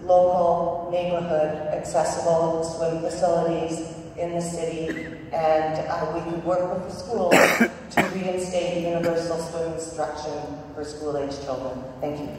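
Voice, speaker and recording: A middle-aged woman giving an earnest, measured candidate speech at a community meeting. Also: low-pitched, little pitch movement. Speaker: park board candidate. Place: community centre hall. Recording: echoey hall from far off, faint hum.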